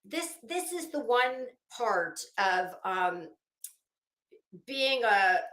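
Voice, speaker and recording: Slow-paced, higher-voiced woman in an echoey room. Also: very animated, a bit bright. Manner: rambling, breaks off mid-thought with stops and restarts. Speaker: livestream host. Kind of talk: casual chatty livestream talk to viewers.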